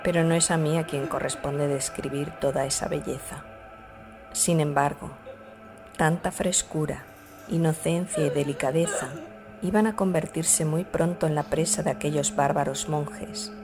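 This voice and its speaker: A woman's voice: low voice, fairly even intonation, normal speed, music in the background. A woman reading literary fiction aloud as a scripted audiobook narrator.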